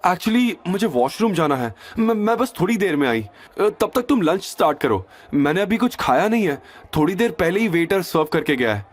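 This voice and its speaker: A man's voice: high-pitched, big pitch swings, normal speed, hardly any pauses, voice pushed up. A man voicing a young woman in an audio drama, polite and secretive but firm. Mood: polite, discreet. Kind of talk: audio drama character dialogue.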